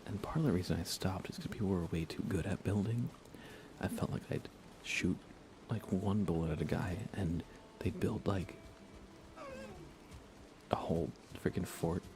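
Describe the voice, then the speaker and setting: Low-pitched man, soft-spoken to whispery, creaky, casual ASMR game streamer, noisy background ambience. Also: normal speed. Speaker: livestreaming gamer. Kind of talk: ASMR gaming chat, casual and hushed.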